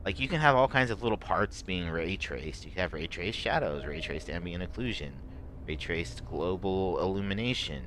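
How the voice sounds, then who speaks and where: Guy streaming a game, deep voice, kinda rough, rambles and restarts a lot, faint game sounds behind. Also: expressive, fairly bright tone, slight background noise. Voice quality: rough, strained voice. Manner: rambling, halting, many fillers and restarts. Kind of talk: casual gameplay commentary.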